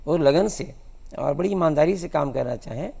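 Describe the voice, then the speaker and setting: A man in his sixties giving interview answers as a guest, speaking in a measured, halting way. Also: a little high, expressive, gravelly, mellow. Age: 60s. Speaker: guest cartoonist. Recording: long hall-like reverb.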